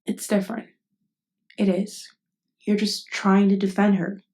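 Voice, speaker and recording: A woman's voice: mid-range voice, level tone, measured pace, a little mellow, lightly acted. A woman voice acting a caring, indignant best friend in an intimate ASMR roleplay, speaking casually and bluntly. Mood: earnest, subdued. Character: protective, indignant female best friend comforting someone. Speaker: voice actress.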